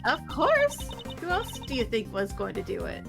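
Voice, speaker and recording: A woman's voice: a little high, wildly swooping pitch, normal speed, vocal fry, music in the background, heavily acted. A woman voicing an eager young female forensic scientist in a game dub, cheerful and explanatory.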